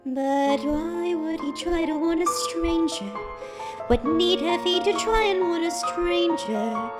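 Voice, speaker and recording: An actress playing a doubting young lady in a sung musical theatre soliloquy, earnest, searching and drawn-out. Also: very high voice, loud music behind the voice. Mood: troubled, doubting. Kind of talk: musical theatre solo, sung over accompaniment. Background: musical accompaniment.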